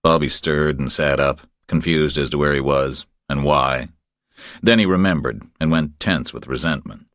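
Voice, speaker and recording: A man's voice: extremely low pitch, moderate pace, fairly bright tone, no room sound, studio quality. A man reading a crime thriller audiobook as scripted narration.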